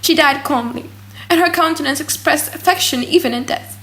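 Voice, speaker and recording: Theatrical woman narrator, higher-pitched voice. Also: normal speed. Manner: theatrical, highly expressive. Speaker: audiobook narrator. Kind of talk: dramatic, slightly silly audiobook reading of a gothic novel.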